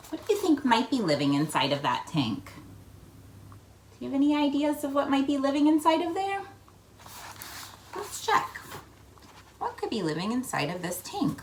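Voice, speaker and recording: Woman, children's read-aloud host, playful and very animated in pitch, with noticeable background noise. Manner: playful, expressive, prompting the listener with questions. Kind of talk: picture book read-aloud with questions to young listeners.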